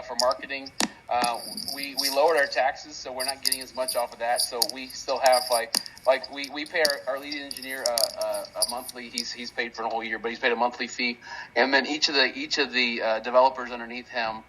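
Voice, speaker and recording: A man hosting a casual online Q&A, speaking haltingly and rambling, often restarting his sentences mid-thought. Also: mid-range voice, bright, forceful tone, a little hiss or hum, rough recording. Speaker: host of a crypto project community audio call. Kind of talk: casual unscripted Q&A chat. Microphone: online audio call.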